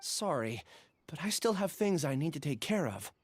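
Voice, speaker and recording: An actor playing a gentle, troubled young scholar in a video game, hesitant and apologetic. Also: male voice, a little high, big pitch swings, moderate pace, breathy edge, no echo. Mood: subdued. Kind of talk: video game character acting.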